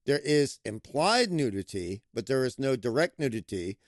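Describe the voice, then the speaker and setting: A man giving a casual, playful film review, with lively emphasis that he stretches out for effect. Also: medium pitch, dry recording, clean studio sound. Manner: playful, animated, drawn-out emphasis. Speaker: movie reviewer.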